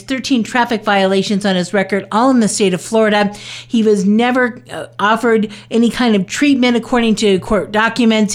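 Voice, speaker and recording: A woman working as a news reporter, reading a scripted court report in a measured, matter-of-fact way. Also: medium pitch.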